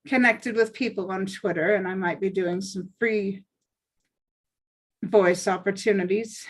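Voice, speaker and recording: Earnest woman, assertive talker. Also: mid-range voice. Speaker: actress and aspiring voice actor introducing herself. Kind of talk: casual self-promotion in an online group.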